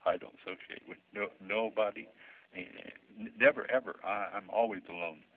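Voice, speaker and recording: A man in his sixties with a deep, low voice and a speech impediment. He tells his story haltingly and keeps repeating words. The audio is thin and tinny, like a phone line. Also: fairly even intonation. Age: 60s. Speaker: interviewee. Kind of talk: personal account.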